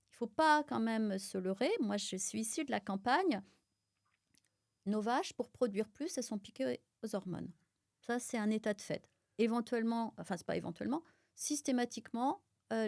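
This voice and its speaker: A woman's voice: a little high, gravelly, dry recording, clean studio sound. A middle-aged French woman from Auvergne, a pharmacist guest explaining nutrition in a podcast conversation with many fillers. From France (Auvergne). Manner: explanatory, lots of fillers, animated. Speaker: guest, pharmacist and micronutrition expert.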